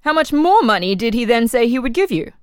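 A woman's voice: a little high, sing-song, fairly quick, darkish tone, smooth, dry recording, studio quality. A woman reading the part of an insistent official who questions a murder prisoner methodically in a dramatic reading. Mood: probing, insistent. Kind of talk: dramatic reading of an interrogation transcript.

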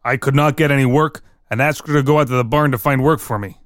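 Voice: mid-range voice, fast, dry recording, clean studio sound, close to an everyday voice. An actor reading the part of an evasive prisoner, a German immigrant murder suspect, giving short guarded answers in a dramatic reading. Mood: guarded, flat. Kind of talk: dramatic reading of an interrogation transcript.

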